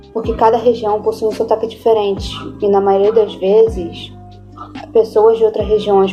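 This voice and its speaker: A Brazilian teenage girl reads out a prepared answer in a measured way. Her voice is mellow and dark, and her delivery stays very flat. Faint music plays behind. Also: mid-range voice. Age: teens. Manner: measured, read-aloud delivery. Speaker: student.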